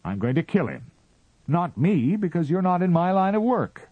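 A man's voice: high-pitched, sing-song, moderate pace, slightly rough, no room sound, narrow, tinny audio, pitched above the performer's own voice, heavily acted. A man reading aloud and voicing a fussy, self-important male Martian official who lectures and sneers. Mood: dismissive. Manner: peevish, lecturing, sarcastic.

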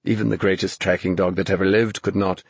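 Man playing a glum, low-voiced young man. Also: flat delivery, fast talker, gravelly, husky, no echo, full character voice. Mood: doubtful. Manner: subdued, dejected, apologetic. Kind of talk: audiobook character voice.

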